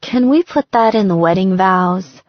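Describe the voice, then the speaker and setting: A woman's voice: medium pitch, expressive, moderate pace, no echo, highs cut off, close to an everyday voice. A woman voicing an impulsive, affectionate young bride in a romance audiobook, earnest and gushing in a lighter voice. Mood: sheepish, happy.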